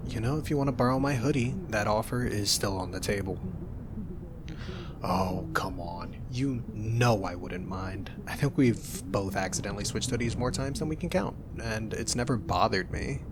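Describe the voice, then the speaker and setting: A young man doing an ASMR roleplay as a roommate, talking softly in a teasing, reassuring way. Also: lowish voice, noisy background.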